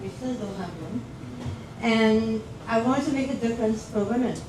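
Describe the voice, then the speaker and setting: A woman from Guyana telling her personal story as a guest speaker, with lively quoted asides. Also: a little high, normal speed. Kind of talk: personal story at a livestream event. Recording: echoey, distant, noisy livestream audio.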